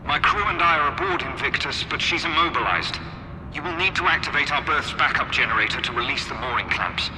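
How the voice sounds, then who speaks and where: Man as a higher-voiced, formal war-machine commander. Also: lively intonation, fairly quick, natural voice. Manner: formal, earnest. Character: dutiful, reverent officer piloting a giant war machine. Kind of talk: video game voice acting. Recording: loud music and engine rumble behind.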